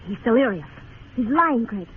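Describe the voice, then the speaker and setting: An actress playing a scheming, cold-blooded frontier seductress in a radio western, urgent and insistent. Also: female voice, on the high side, very animated, moderate pace. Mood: urgent, desperate. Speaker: supporting actress. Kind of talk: old-time radio western acting. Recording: tinny old radio recording, faint noise.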